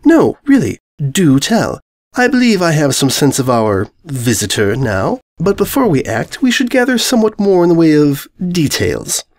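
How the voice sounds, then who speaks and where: A man's voice: on the high side, huge pitch swings, moderate pace, dry recording, studio quality, pitched above the performer's own voice, exaggerated voice. A man voicing a refined, haughty female pony with prim, elaborate, sarcastic politeness in a fanfiction story reading. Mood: coy, probing.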